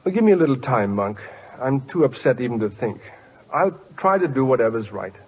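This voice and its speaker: A man's voice: mid-range voice, very animated, fairly quick, slightly rough. A man playing an anxious, polite professor turned ballplayer in an old-time radio comedy, hesitant and fretful. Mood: troubled. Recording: muffled old radio broadcast recording.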